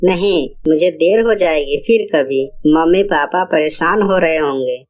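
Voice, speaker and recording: A woman's voice: deep voice, a little slow, a little mellow. A woman voicing an innocent, eager young village schoolboy in a cartoon story, with an earnest, pleading manner. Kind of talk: cartoon moral-story voice acting.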